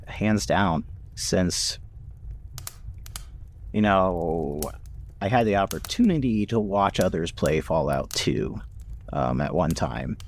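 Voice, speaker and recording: Man, low pitch, very slow pace, halting, self-mocking, informal chat. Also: slight background noise. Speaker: podcast voice actor and writer. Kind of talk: casual chat.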